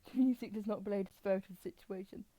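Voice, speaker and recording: A woman's voice: medium pitch, rapid-fire, dark tone, dry recording. A woman hosting a live stream with casual, rambling, explanatory commentary.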